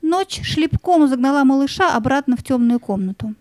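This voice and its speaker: A woman's voice: sits high, animated, moderate pace, darkish tone, no echo, clean studio sound. A woman narrating a scripted fairy-tale audio play as a storyteller, with music behind her.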